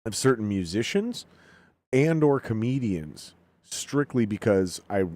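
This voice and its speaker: A man's voice: on the low side, unhurried. A man hosting a podcast, talking loosely and profanely, with jokes at his own expense. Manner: profane, animated, self-deprecating jokes. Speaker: podcast host. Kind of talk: casual podcast chat.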